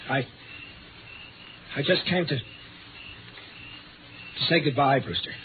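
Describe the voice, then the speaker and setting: An actor playing a dangerous but frightened man, tense and halting, in 1950s radio drama. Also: mid-range voice, sing-song, background noise. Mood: halting, subdued. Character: menacing, frightened man lying in wait with a gun. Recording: narrow old radio sound, loud music.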